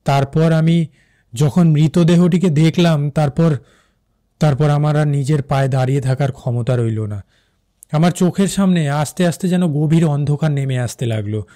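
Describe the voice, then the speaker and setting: A man playing a shaken railway officer who recounts a ghostly encounter in an animated horror story, with suspenseful, halting delivery. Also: on the high side, close to an everyday voice. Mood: fearful, suspenseful. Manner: suspenseful, halting, repeats words for effect.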